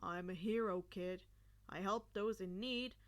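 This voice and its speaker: Girl doing a grown hero guy, pitched way down, patient n reassuring, audiobook style. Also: a little slow, close to an everyday voice. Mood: earnest. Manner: careful, calm, reassuring. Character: gruff, patient adult male hero and teacher.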